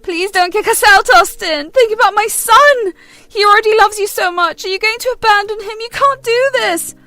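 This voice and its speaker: A woman voicing a scheming, spiteful young woman who sounds shrill and indignant. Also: moderate pace, sharp tone, soft background music. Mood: begging.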